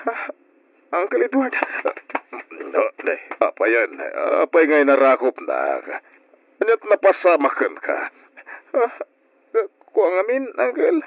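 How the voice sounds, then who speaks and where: A man's voice: fairly high pitch, huge pitch swings, takes it slowly, long pauses, full character voice. A man acting an anxious, devoted young nephew in an Ilocano radio drama, with an Ilocano accent and emotive, exclamatory delivery. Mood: alarmed, shaken. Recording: dull lo-fi radio drama recording.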